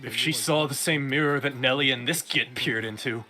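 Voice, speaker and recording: Brash, brooding young game hero, played by a male amateur, blunt and forceful, music underneath. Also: moderate pace, natural voice. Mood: somber, earnest. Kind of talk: amateur fan voice-over of game dialogue. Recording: low-quality game capture with music.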